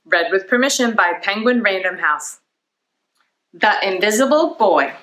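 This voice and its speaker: A woman hosts a children's storytime and reads with a lively, swooping delivery. She takes her time over each phrase like a practised storyteller. The room adds a noticeable echo around her voice. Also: mid-range voice. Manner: animated, deliberate storytelling with character voices. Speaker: children's librarian and storytime host. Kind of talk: expressive picture-book read-aloud for children.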